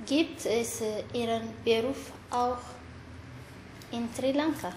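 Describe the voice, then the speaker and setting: A woman asking interview questions in a formal, halting learner's way. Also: fairly high pitch, darkish tone. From Eritrea. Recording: echoey room, faint background noise.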